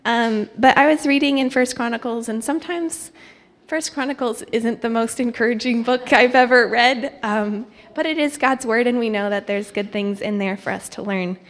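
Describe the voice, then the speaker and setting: A woman's voice: on the high side, moderate pace. A young woman who grew up in Thailand gives an informal church testimony, speaking conversationally with a self-deprecating touch. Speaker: guest speaker, missionary candidate. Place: church hall, speaking to congregation. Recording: echoey hall with long reverb.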